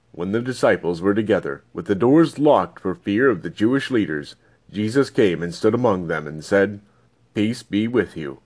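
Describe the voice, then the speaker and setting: A man reading scripture aloud as scripted narration. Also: lowish voice. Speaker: Bible reader.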